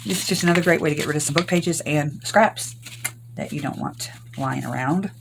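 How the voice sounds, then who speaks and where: An older woman from Arkansas, hoarse from recent illness, chatting through a rambling crafting tutorial. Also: sits low, fairly quick, background noise. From Arkansas, US South. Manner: rambling, homey, many ums. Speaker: craft channel host.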